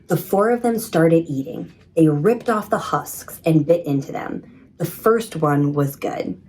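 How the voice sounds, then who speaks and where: A woman reading a children's story in an expressive, measured storytelling manner. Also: low-pitched, low-quality recording. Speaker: storybook reader. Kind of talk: scripted children's story narration.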